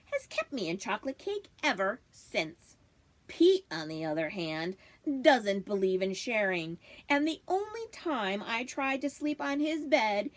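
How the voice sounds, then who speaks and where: Woman as a wry dog storyteller, high animated voice. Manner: wry, confiding. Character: wry, food-loving family dog narrating his tale.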